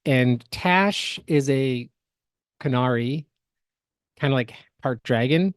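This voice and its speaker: A man co-hosting a podcast, explaining things in a casual commentary style with halting, emphatic phrasing. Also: mid-range voice, no echo, clean studio sound. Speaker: podcast co-host.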